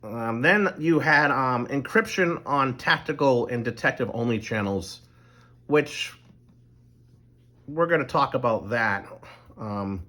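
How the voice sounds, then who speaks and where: A man's voice: mid-range voice, animated, slow, sharp tone. Rambling middle-aged man explaining radio. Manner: rambling, loose, full of ums and restarts. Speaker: channel host. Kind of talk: casual explanatory monologue.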